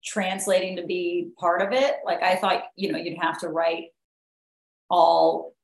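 A woman's voice: lowish voice, fairly even intonation, unhurried. A woman hosting a podcast who chats casually and backs up her guests with short agreements. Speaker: podcast host. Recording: echoey room.

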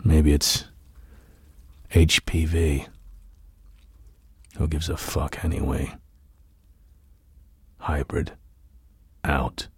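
Man playing a very deep, slow noir detective. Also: slightly rough, no echo, heavily acted. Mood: nihilistic. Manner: slow, brooding, deadpan narration. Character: world-weary drunken film noir detective, brooding, nihilistic. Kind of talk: film noir radio play monologue. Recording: noisy, rain sound behind. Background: rain.

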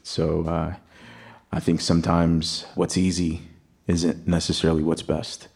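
A young man on a concert stage with a very deep voice that stays low and even. He tells a reflective personal story to lead into his song. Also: unhurried, creaky, breathy edge. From Greece, raised in Texas. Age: young adult. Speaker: singer-songwriter performing live. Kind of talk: spoken song introduction on stage. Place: live concert hall stage.

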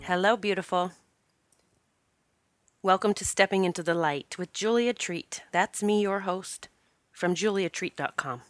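A woman's voice: fairly low pitch, measured pace, pauses often, no echo, faint music behind. A woman hosting her own podcast, stopped up from allergies, chatting in an encouraging way with fillers. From Northeast Pennsylvania. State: congested from allergies. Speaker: podcast host. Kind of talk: casual podcast talk.

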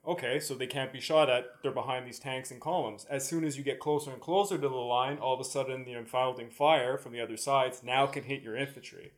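A Canadian man with an average-pitched voice talks on a podcast. He explains things conversationally, laying out examples one piece at a time. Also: steady tone, brisk, few pauses. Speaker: podcast co-host. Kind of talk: casual explanatory chat.

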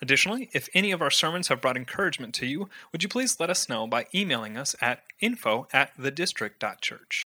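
A man's voice: medium pitch, expressive, fast, few pauses, bright, forceful tone. A man reading a short scripted announcement for a church's recorded sermons. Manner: reads evenly from a script.

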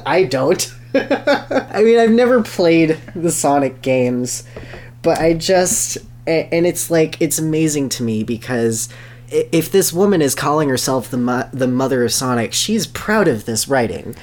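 A man's voice: fairly high pitch, lively intonation, measured pace, a little mellow. A man co-hosting a podcast in casual comedic chat, with a wry, incredulous way of talking. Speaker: podcast co-host.

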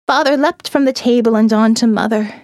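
A woman narrating a first-person horror audiobook, slow and hushed, often dropping to a whisper. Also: on the high side, no room sound, clean studio sound.

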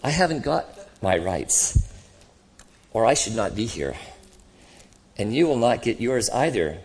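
A man voicing a gentle, cheerful redeemed spirit in a storybook reading, patient, humble and lightly amused. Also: fairly low pitch, sing-song, measured pace, pitched above the performer's own voice. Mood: gently urging.

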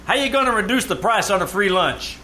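A man performs a droll tavern waiter from an old radio comedy. His high voice delivers sardonic questions and dry quips, and the live theater recording is clearly noisy. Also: expressive, a little fast, bright, forceful tone.

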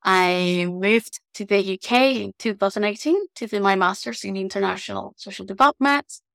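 Woman, from Guatemala, gravelly voice, mid pitch, unhurried, pauses often. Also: fairly bright tone, dry recording, studio quality. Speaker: researcher, podcast guest. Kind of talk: casual self-introduction.

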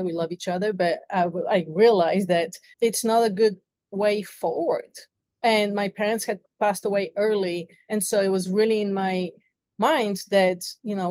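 A woman's voice: medium pitch, darkish tone, dry recording. Woman, Bulgarian accent, middle-aged, reflective guest, dry close sound. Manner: earnest, reflective storytelling. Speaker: podcast guest. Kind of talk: interview conversation.

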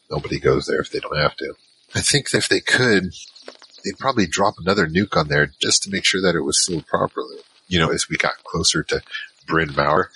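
A man's voice: very deep voice, pushed and bright, slight background noise, full character voice, low-quality recording. A man playing a wary team member who narrates an audio drama in a rambling, wry, conversational way. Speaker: narrator and lead character.

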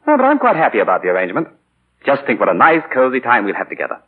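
A man's voice: medium pitch, huge pitch swings, racing, husky. Smug young man, old phone-like radio. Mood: smug, taunting. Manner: mocking, falsely courteous, theatrical. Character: smug, insinuating young man, a novelist's spoiled son. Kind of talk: 1940s radio acting. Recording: muffled, scratchy 1940 radio broadcast.

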